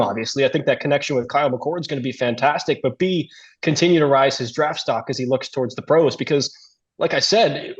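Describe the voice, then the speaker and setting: Fast-talking man, slightly dull audio. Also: mid-range voice. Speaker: sports talk radio commentator. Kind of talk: conversational sports radio analysis.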